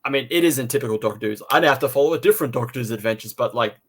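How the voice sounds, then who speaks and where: A man co-hosts a casual review chat for fellow fans. He is enthusiastic and jokey, and he tends to stumble and repeat words while getting his thought out. His pitch sits in an ordinary middle range for a man. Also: rapid-fire, slightly rough. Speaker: podcast co-host and reviewer.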